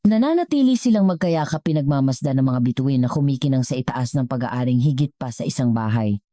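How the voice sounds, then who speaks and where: A woman's voice: rumbling low, expressive, quick pace, mellow, dry recording, studio quality. A woman reading a scripted story as a narrator and storyteller.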